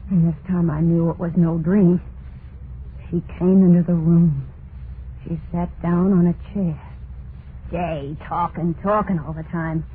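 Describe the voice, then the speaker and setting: An actress playing a terrified, unhinged wife in an old-time radio horror monologue, halting and overwrought, full of guilt and dread. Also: female voice, on the low side, steady tone, natural voice. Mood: haunted dread. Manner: halting, overwrought, drawn-out dramatic delivery. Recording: noisy narrow-band vintage radio recording.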